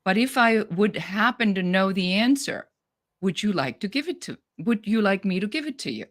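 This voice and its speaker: A woman's voice: mid-range voice, expressive, normal speed. A middle-aged woman with a German accent, speaking as a guest on a business podcast in a direct, no-nonsense way. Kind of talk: podcast interview.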